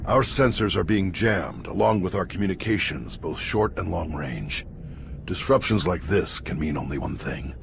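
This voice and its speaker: An aged Jedi master from a sci-fi video game, very low and creaky. He speaks solemnly and patiently, like a teacher, over a clearly noisy game soundscape. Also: lively intonation, normal speed. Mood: grave, urgent. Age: older. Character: wise, patient old male Jedi master.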